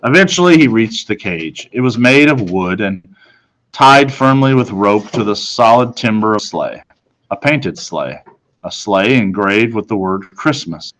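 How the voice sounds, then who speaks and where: A man narrating a children's novel as a reader, in a measured, suspenseful storytelling manner. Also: lowish voice, lively intonation, a bit bright, low-quality recording.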